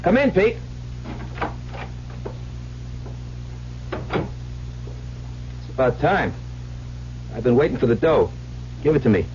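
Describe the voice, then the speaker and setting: An actor playing a wary, desperate small-time schemer in a radio drama, with a blunt, slangy way of talking. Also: male voice, mid-range voice, very animated, measured pace. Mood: tense, warning. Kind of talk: 1970s radio drama acting. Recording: dull, hissy old radio recording.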